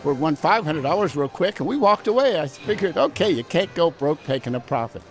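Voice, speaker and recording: An older man with a hoarse, raspy voice, chatting casually to viewers while playing slots in a casino. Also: fairly high pitch, animated, a little fast. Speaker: slot machine vlogger. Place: busy casino floor. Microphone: handheld microphone in front of him. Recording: casino slot machine music behind. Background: slot machine music and sounds.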